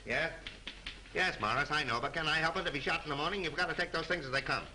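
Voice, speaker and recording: An actor playing a harried studio publicity man in a 1930s film, talking quickly on the phone. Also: a little high, pushed and bright. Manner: harried, rapid-fire phone talk. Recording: hissy 1930s film soundtrack.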